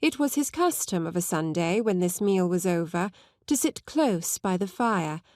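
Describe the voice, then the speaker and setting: A woman's voice: lowish voice, lively intonation, moderate pace, darkish tone, no echo, studio quality. A woman reading scripted literary narration as an audiobook narrator.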